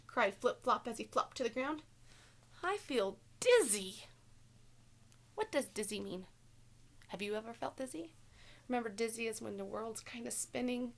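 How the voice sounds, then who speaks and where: Woman, schoolteacher, fairly high voice, very lively intonation, picture-book read-aloud, questions to kids, slight noise. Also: gravelly. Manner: expressive, asks listeners simple questions. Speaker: elementary school teacher.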